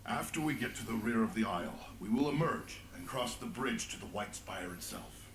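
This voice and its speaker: An actor playing a stern, forthright war planner in a fantasy game, with formal phrasing. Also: male voice, fairly low pitch, animated, normal speed, a bit bright, roomy sound, slight background noise, low-quality recording. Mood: resolute. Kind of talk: dramatic fantasy game voice acting.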